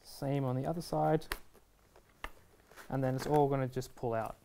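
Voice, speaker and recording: A man's voice: medium pitch, fairly even intonation, normal speed, creaky, mellow, a little hiss or hum. A man in his twenties presenting a casual step-by-step product tutorial in a reassuring, easygoing way. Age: 20s. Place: indoor shop video studio, standing at a table.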